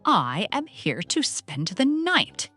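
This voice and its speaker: A woman's voice: mid-range voice, huge pitch swings, slow pace, pushed and bright, faint music behind, voice pushed up, exaggerated voice. A woman voicing a small, chatty, eager mouse with prim, polite phrasing in a storybook reading. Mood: excited, insistent. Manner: prim, formal, chirpy wording.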